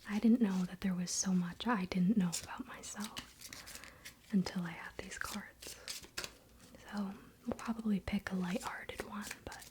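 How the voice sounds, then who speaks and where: A woman whispering breathily in an unhurried, confiding ASMR style, speaking directly to the viewer. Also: mid-range voice, noisy background. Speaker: ASMR video creator.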